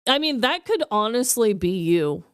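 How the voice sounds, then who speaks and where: High, lively, clear-voiced woman podcaster. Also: normal speed, dry recording, clean studio sound. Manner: playful, slangy, giggly. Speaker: podcast co-host. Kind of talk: casual comedic podcast chat.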